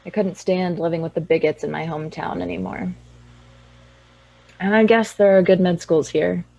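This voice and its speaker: A woman's voice: lowish voice, steady tone, moderate pace, creaky, mellow, highs cut off. A young actress playing a tipsy, dry-witted woman from a small town in a radio drama. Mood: wry, tipsy.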